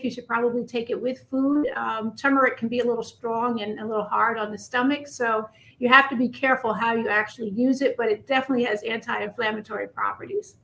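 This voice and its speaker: A woman's voice: on the high side, sharp tone, poor audio. A woman in her fifties, a family physician and acupuncturist, talking earnestly as a radio interview guest. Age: 50s.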